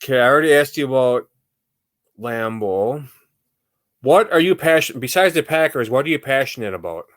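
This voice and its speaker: A man's voice: medium pitch, lively intonation, normal speed, fairly bright tone. A man in his forties hosting a podcast, asking questions in a casual, chatty interview style. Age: 40s. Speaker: podcast host.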